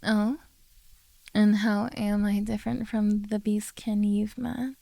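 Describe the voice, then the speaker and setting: A woman playing a possessive, coaxing beastkin monster girl in a soft, teasing ASMR roleplay. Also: medium pitch, little pitch movement, slow, creaky, no room sound. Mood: calm, probing.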